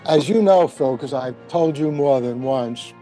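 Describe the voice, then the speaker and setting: A man's voice: mid-range voice, measured pace, mellow, faint music behind. An older man talking casually as a panel guest, wry and emphatic, wandering into asides as he explains his opinions. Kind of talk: casual discussion, storytelling.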